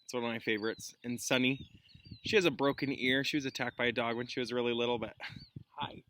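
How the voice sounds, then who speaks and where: A man's voice: medium pitch, fairly even intonation, fast, fairly bright tone. A male teacher reading a storybook aloud to children outdoors, with informal explanatory asides. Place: outdoors in a chilly llama pasture. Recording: clearly noisy outdoor recording with clicks.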